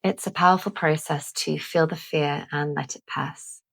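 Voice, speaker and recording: A woman leading a calming guided visualisation in a gentle, deliberate manner with long pauses. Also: sits low, gravelly. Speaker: guided meditation host.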